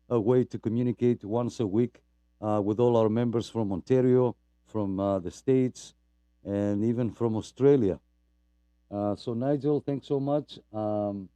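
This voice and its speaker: A man hosting a live radio net, talking in a casual, rambling way with plenty of fillers. His voice is dark and mellow, smooth and resonant, and it sounds very dry and close to the mic. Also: mid-range voice, no echo. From Greece. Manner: rambling, apologetic, chatty with many fillers. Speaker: net host.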